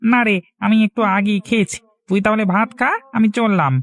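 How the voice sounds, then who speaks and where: A man's voice: high-pitched, fairly even intonation, brisk, no echo, close to an everyday voice. A man playing a kindly older village uncle in a Bengali cartoon, coaxing and reassuring. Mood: encouraging.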